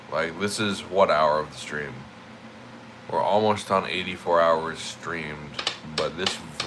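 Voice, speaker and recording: Very deep-voiced sniffly male streamer. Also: heavy background noise. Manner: rambling, repeats himself, laid-back filler talk. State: sniffly, stuffy nose. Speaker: livestreamer. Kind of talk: casual stream chat.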